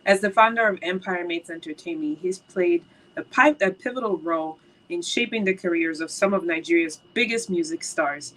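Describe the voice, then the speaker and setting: A woman's voice: fairly low pitch, moderate pace, a bit bright, low-quality recording. A woman co-hosting a call, reading a formal, praising introduction of the next speaker over a video call. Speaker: event co-host introducing a speaker. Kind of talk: read introduction.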